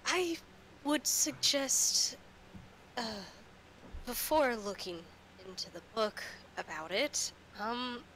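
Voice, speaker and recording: A woman acting as game master on a tabletop stream, improvising character dialogue and narration in halting, drawn-out phrases. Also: a little high, lively intonation, a bit bright, slight background noise, poor audio.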